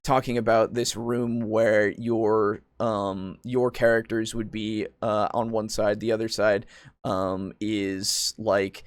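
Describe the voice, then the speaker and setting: A man's voice: on the low side, little pitch movement, clean tone, dry recording. Rambling man in casual, close dry talk. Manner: rambling, halting explanations. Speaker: podcast host. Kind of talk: casual chat about tabletop roleplaying.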